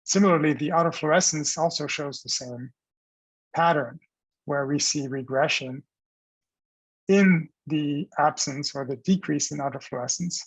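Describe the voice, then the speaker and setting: A man giving a scientific research presentation as a professor, explaining in a measured, halting way with restarts. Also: a little high, breathy edge, no room sound, rough recording.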